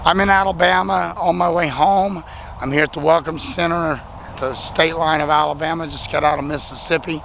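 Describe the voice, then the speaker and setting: Man, hoarse and gravelly, slightly high, chatty and rambling; outdoor rest stop with loud traffic behind. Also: fairly even intonation, a little fast. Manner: rambling, chatty, self-deprecating. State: voice nearly lost, hoarse. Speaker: vlogger. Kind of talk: casual thank-you vlog.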